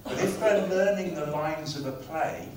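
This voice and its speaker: A man giving a conference talk on language teaching, speaking in a lively, conversational way. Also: fairly high pitch, unhurried, a little hiss or hum. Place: conference hall. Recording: very echoey hall, far from mic.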